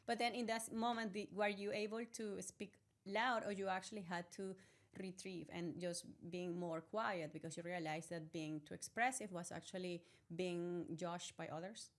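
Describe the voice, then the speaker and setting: A woman's voice: on the low side, steady tone, normal speed. A woman hosting a wellbeing podcast, introducing her guest earnestly and asking long, heartfelt questions. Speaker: podcast host. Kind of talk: interview hosting.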